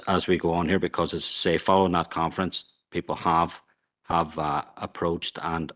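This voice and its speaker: Male councillor, halting speech, deep monotone. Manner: halting, repetitive restarts. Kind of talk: speaking at a council meeting.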